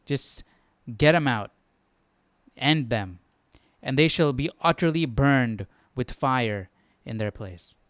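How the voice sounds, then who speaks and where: A man's voice: medium pitch, lively intonation, takes it slowly, a bit bright, no echo, clean studio sound. A man hosting a Bible study in an informal way, thinking aloud and often checking in with the listener. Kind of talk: casual live study commentary.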